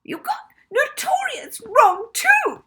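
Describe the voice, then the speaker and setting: A woman voicing a cruel, scolding woman schoolteacher in a children's story, with a squealy, squeaky, scornful delivery. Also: sing-song, fairly bright tone, slight background noise, full character voice. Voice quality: squealy, squeaky, high-pitched. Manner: scornful, scolding, drawn-out jabs. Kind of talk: children's audiobook character voice.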